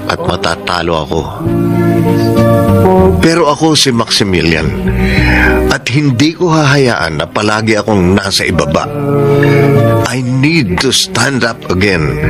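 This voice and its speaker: An actor with a Filipino accent playing a proud, wounded young lover who tells his story in a radio drama with earnest, dramatic delivery. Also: on the low side. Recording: loud music under the voice. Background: loud dramatic background music.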